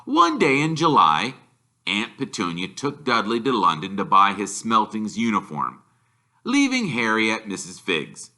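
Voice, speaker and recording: A man's voice: lowish voice, huge pitch swings, a little slow, bright, forceful tone. A man reading a children's novel aloud as a teacher would, in storybook narration.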